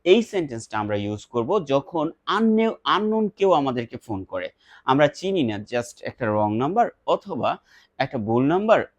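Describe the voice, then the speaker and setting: A man with a Bangladeshi accent speaks as an English teacher, in a dry recording with very little room sound. He is instructive, giving example phrases with slow emphasis and then explaining them. His pitch is average for a man. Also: dry recording. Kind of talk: spoken English lesson with example phrases and translations.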